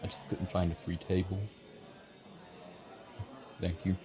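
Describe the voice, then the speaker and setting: A man's voice: sits low, completely flat delivery, measured pace, over music. A man playing a shy, friendly young writer in an ASMR roleplay, chatting bashfully and earnestly, a little hesitant. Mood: shy, apologetic. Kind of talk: ASMR roleplay, soft conversational acting. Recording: busy café crowd murmur behind. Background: café crowd chatter.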